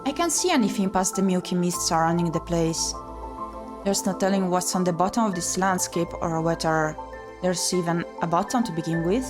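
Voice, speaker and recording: A woman streamer reading a visual novel aloud in an expressive, theatrical way. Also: on the low side. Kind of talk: dramatic read-aloud narration. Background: game music.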